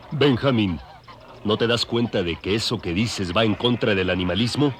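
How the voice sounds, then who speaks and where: A man's voice: on the low side, unhurried, slight background noise, natural voice. A man voicing an eloquent young revolutionary boar in an audio drama, lecturing earnestly. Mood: earnest reproof.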